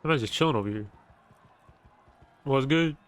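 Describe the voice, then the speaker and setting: A man's voice: medium pitch, moderate pace. A man streaming a game, giving slangy, laid-back reactions in casual commentary.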